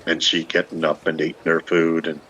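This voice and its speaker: A man's voice: rumbling low, completely flat delivery, brisk, fairly bright tone, lo-fi sound, a little hiss or hum. An actor playing a lovestruck, shabby old Irish beggar man in a radio play, speaking in long, poetic, pleading runs. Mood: bitter, yearning. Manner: poetic, long rolling pleas. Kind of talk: lyrical stage radio-play acting.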